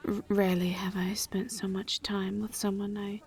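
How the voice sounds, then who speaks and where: A woman playing a gentle, reserved vampire in a roleplay audio drama, speaking in a hushed, breathy voice with careful, halting, formal phrasing. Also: medium pitch, level tone, natural voice. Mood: flustered, shy. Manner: halting, careful, formal diction without contractions. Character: gentle, reserved female vampire travelling with a knight.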